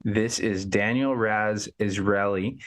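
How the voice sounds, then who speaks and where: A man's voice: on the low side, fairly even intonation, slow. A man hosting a fitness podcast, upbeat and chatty, often repeating words as he thinks. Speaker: podcast host. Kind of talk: casual interview.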